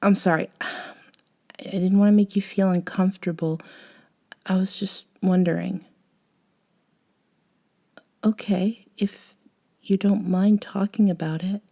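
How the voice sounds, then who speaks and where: A woman playing a gentle, caring young woman with a wistful streak in an intimate ASMR roleplay, speaking softly and hesitantly and often dropping toward a whisper. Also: mid-range voice, fairly even intonation, slow, creaky, long pauses. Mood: gentle curiosity.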